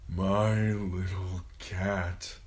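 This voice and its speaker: Frail dying old lord, very slow. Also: male voice, sits low, flat delivery. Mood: tender, weak. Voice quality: thin, wispy, whispery. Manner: slow, halting, murmuring speech.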